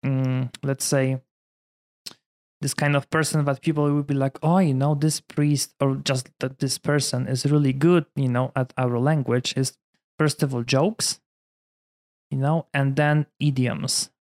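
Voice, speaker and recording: A man in his thirties from Poland, a priest speaking English with a Polish accent in a relaxed conversation, full of "you know" fillers. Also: mid-range voice, unhurried. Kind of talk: casual conversation.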